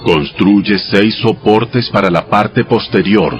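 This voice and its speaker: A man playing God in a dramatized audio Bible, giving exact instructions in a solemn, measured, commanding manner. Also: fairly low pitch, lo-fi sound. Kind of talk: dramatized scripture reading. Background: music behind the voice.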